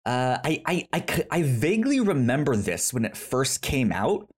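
A man hosting a casual film review podcast, talking haltingly and often stammering over the start of words. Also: medium pitch, big pitch swings, breathy edge. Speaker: podcast host.

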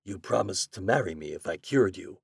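A poor, proud craftsman who speaks earnestly in a low, husky voice, as a man reads him in a storybook. The sound is dry and close to the microphone. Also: expressive, fairly quick, dry recording, heavily acted. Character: poor, proud, scheming male glassblower.

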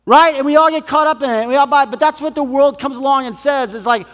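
A male pastor with a high-pitched voice preaches in a long, ringing hall reverb. His delivery is conversational and animated, acting out little quotes in everyday slang. He checks in with listeners and repeats phrases to drive them home. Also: fast. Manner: animated, acts out imagined quotes in casual slang; rhetorical check-ins and repetition for emphasis. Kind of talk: conversational evangelical sermon.

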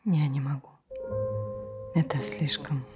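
An actress playing a confused, frightened wife with a headache who whispers and searches for words, with loud music behind. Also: female voice, low-pitched, steady tone, slow. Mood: anxious. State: has a headache. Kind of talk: stage play performance.

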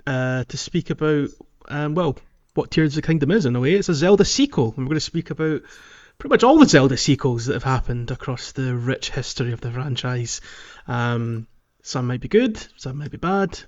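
A man co-hosting a casual podcast discussion, speaking with hesitant, thoughtful pauses. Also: mid-range voice, lively intonation. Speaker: podcast co-host. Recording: slightly dull, lo-fi mic, music behind.

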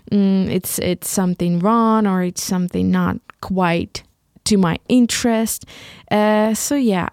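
A young Ukrainian woman with a Ukrainian accent, speaking English as a second language. She hosts a solo podcast and talks in a halting way, restarting and repeating words often. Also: medium pitch, darkish tone, no echo, clean studio sound. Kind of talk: casual solo podcast monologue.

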